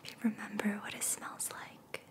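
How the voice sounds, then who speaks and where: A woman doing ASMR as a reiki guide, speaking in a soft, breathy whisper with a gentle, soothing manner. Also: normal speed.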